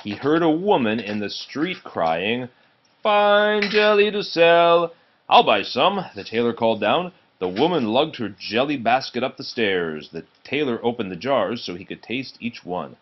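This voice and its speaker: A man reading a children's storybook aloud in an expressive way, pausing to speak to the children with him. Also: medium pitch, lo-fi sound. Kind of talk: read-aloud of a children's storybook, broken by asides to kids.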